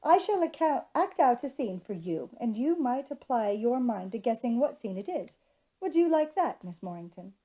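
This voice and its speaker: A woman voicing a cheerful, gallant young gentleman suitor with genteel, effusive manners in a storybook reading. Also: on the high side, big pitch swings, normal speed, low-quality recording. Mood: excited. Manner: genteel, effusive, formal period speech.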